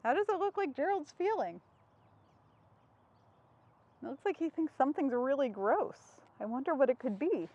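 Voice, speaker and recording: A woman's voice: high voice, lively intonation, normal speed, long pauses, darkish tone, lo-fi sound. A woman hosting an interactive storytime for young children, asking simple questions and answering them.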